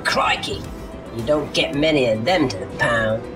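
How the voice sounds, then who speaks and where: A man's voice: mid-range voice, normal speed, over music, lightly acted. A man playing a lecherous, cheeky lout full of British slang in a sci-fi audio drama. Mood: leering, lustful. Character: lecherous, cheeky male lout in a spaceport brothel.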